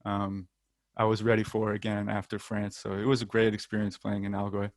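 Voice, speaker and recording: A man's voice: on the low side, completely flat delivery, a little fast, no room sound. A young American man, a football player, chatting casually in an interview with frequent ums and the odd light laugh. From United States.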